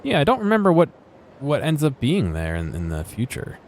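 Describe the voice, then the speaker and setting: A man's voice: a little high, huge pitch swings, a little fast, a little mellow, slight background noise. A man giving casual commentary while exploring a video game, musing and muttering to himself.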